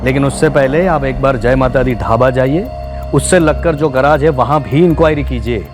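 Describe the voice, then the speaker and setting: An actor playing a defensive, insistent murder suspect under questioning in a TV crime drama. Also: male voice, mid-range voice, normal speed, over music, natural voice.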